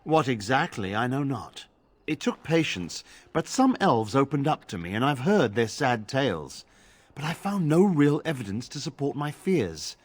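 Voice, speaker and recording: An actor playing a grave, pious templar in a fantasy video game, speaking formally and solemnly. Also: male voice, medium pitch, sing-song, moderate pace. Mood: grave, hopeful. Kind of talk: video game drama acting.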